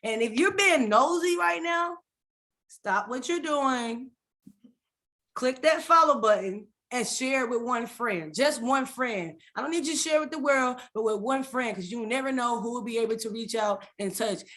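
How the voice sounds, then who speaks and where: A woman hosting a podcast with lively, energetic talk that repeats phrases and leads a prayer. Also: fairly high pitch, normal speed. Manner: energetic, hyped, repeats phrases, stumbles on names. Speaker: podcast host.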